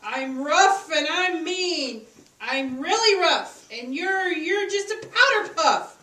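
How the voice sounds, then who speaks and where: High, snarling bully goat. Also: lively intonation, slow, pushed and bright, reverberant, distant mic, heavily acted. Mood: boastful, threatening. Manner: snarling, mocking, boastful. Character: mean, sneering medium-sized male billy goat bully.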